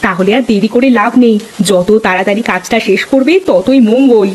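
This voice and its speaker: A woman voicing a concerned, shrewd and devout village fisherman's wife in an animated folk tale, expressive and caring. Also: medium pitch, brisk, a little hiss or hum, lightly acted, poor audio. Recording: music behind the voice.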